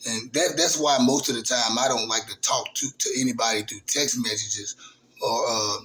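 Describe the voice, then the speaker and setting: A man, hosting his own channel in a casual, halting monologue full of fillers and restarts. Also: mid-range voice, bright, forceful tone, low-quality recording. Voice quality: raspy voice. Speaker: YouTube channel host.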